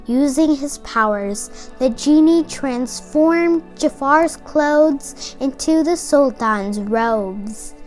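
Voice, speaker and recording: A woman's voice: high-pitched, lively intonation, slow pace, few pauses, a little mellow, faint music behind, rough recording. A woman reading a children's story aloud from a script. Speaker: storybook reader.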